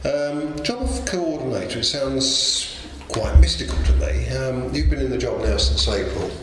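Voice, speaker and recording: A man's voice: mid-range voice, animated, moderate pace. A man presenting an on-location radio interview from a town hall office. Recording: very echoey office, faint music.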